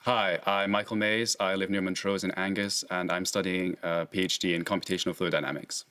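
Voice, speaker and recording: A young man with a low voice, captaining a quiz team. He murmurs and hesitates while he weighs each answer with his teammates. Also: flat delivery, brisk, bright, forceful tone. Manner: hesitant, murmured thinking aloud. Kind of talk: quiz contestant conferring.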